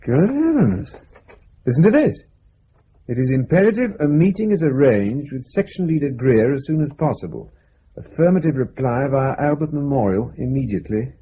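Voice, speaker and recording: Mellow, matter-of-fact intelligence officer. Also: male voice, mid-range voice, expressive, moderate pace, husky, muffled recording. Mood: intrigued. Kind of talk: radio drama dialogue.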